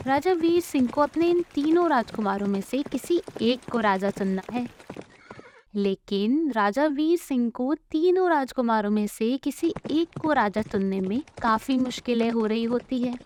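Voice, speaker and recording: High-voiced woman storyteller. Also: expressive, measured pace, a little mellow, slight background noise. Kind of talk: scripted children's story narration.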